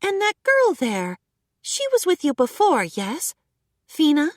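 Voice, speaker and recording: A woman's voice: sits high, huge pitch swings, takes it slowly, no room sound, clean studio sound, voice pushed up, heavily acted. Woman voicing a stern elf guild master. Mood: commanding. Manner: commanding, clipped.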